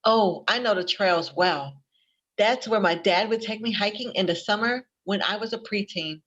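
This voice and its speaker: A woman's voice: mid-range voice, moderate pace, a bit bright, lightly acted. Woman playing friendly young librarian, slightly dull audio. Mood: chatty, confiding. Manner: helpful, chatty. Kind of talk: readers theater acting.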